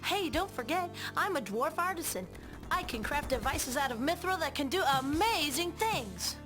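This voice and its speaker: A woman's voice: high-pitched, lively intonation, normal speed, over music. A woman voicing a feisty, boastful dwarf artisan in a video game, brash and confident. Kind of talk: video game cutscene acting.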